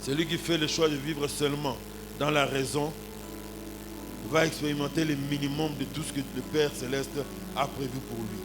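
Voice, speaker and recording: A man's voice: fairly high pitch, steady tone, normal speed, very noisy. A man in his forties with a Congolese accent preaching a sermon as a pastor, punctuating his points with exclamations and rhetorical questions. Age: 40s. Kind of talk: animated sermon with anecdotes and congregational address.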